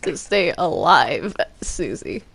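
Girl doing a whiny grumbly captive for a minecraft roleplay, kinda low and creaky. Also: big pitch swings, takes it slowly, fairly bright tone, full character voice. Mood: defensive. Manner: whiny, protesting. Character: grumbling, reluctant captive Bendy under another's control.

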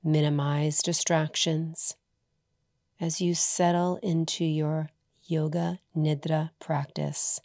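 A woman leading a guided meditation as a yoga nidra teacher, soothing and hushed, leaving long pauses between short phrases. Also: low voice, flat delivery, no room sound, clean studio sound.

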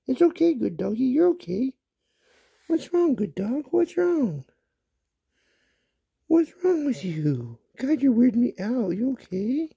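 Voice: sits high, gravelly, warm tone, breathy edge, dry recording, dull audio. A pet owner talking casually to a dog, coaxing and repeating questions in baby talk, recorded on a handheld phone in a small bedroom. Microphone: handheld phone held near the speaker.

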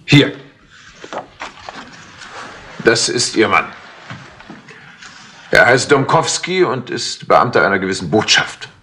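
An actor playing a harried, fussy, impatient colonel in a 1960s radio crime play. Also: male voice, on the low side, very animated, measured pace, pushed and bright, full character voice. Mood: worried, impatient. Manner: fussy, exasperated, hesitant. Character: harried, impatient male colonel, worried senior official. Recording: noisy old 1960s tape recording.

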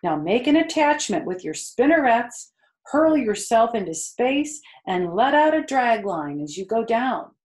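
A woman's voice: a little high, big pitch swings, unhurried, darkish tone, pitched above the performer's own voice. A clever lady spider from a storybook, read by a woman. She teaches patiently, with precise, matter-of-fact words in an echoey room. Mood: encouraging.

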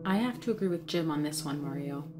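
A woman's voice: low-pitched, expressive, quick pace, music in the background. A woman playing an assertive but slightly awkward prosecutor in a comic book fan dub, frank and conversational. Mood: irritated.

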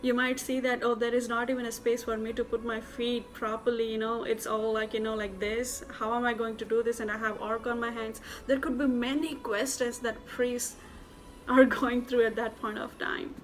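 A woman's voice: on the high side, little pitch movement, moderate pace, a little hiss or hum, rough recording. A young woman sharing a Bible teaching to camera in an earnest, informal way with many fillers, in an indoor room. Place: indoor room with plain walls.

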